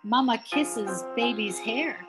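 Slow storytelling grandmother over music. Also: a little high. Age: middle-aged to older. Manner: deliberate, drawn-out, dramatic emphasis on key words. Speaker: grandmother reading a bedtime story. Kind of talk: expressive children's picture book read-aloud. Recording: music playing behind the voice.

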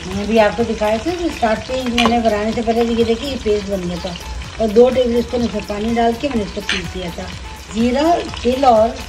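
A woman's voice: on the high side, noisy background. Chatty woman hosting a home cooking video. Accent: Pakistani Urdu. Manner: chatty, homely, explains each step as she goes. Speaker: cooking channel host. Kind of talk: casual cooking demonstration voice-over. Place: home kitchen. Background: background music.

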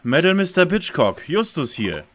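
A man's voice: on the high side, sing-song, moderate pace, fairly bright tone. An actor playing a pompous, brainy boy detective with bookish diction in a parody audio drama. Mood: self-important.

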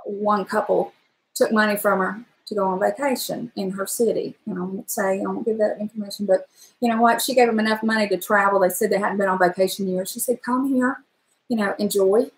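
A woman's voice: mid-range voice, a little fast, roomy sound, low-quality recording. A middle-aged woman chatting animatedly on a live stream from her home kitchen, with a powerful trained voice, recorded on a webcam. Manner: chatty and animated, gesturing, breaks into song. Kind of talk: casual live-stream chat. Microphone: webcam at desk distance.

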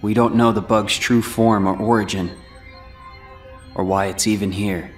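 A man's voice: lowish voice, fairly even intonation, a little slow, faint music behind, natural voice. An actor playing a cool, brooding older teenage boy in a video game, with a reserved, serious, understated delivery. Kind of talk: English video game voice acting.

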